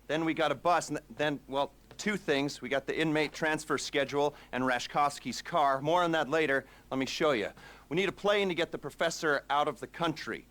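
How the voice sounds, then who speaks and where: A man's voice: on the high side, fairly quick, fairly bright tone, close to an everyday voice. A man performs a nerdy male heist planner in a video game mission briefing. He is wordy and sardonic, wandering into stammered asides as he lays out the job. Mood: dry, businesslike. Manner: wordy, digressive, stammering asides with dry sarcasm. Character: nerdy, rambling criminal heist planner briefing his crew.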